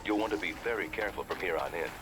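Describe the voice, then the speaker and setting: An actor playing a steady, businesslike ally guiding a game hero over a noisy radio. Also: male voice, sits low, rapid-fire, natural voice. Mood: urgent briefing. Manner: businesslike, instructive. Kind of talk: video game cutscene acting. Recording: very noisy radio-style transmission.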